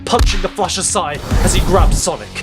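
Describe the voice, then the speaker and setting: A man's voice: high-pitched, fairly quick, loud music behind the voice. A man giving dramatic scripted narration as the narrator of an audio drama.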